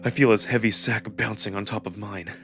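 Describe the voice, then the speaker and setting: A man performing dramatic first-person narration from a scripted story, with expressive, emotional emphasis. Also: on the low side, a little fast. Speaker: narrator and voice performer of a visual novel. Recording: music behind the voice. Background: music.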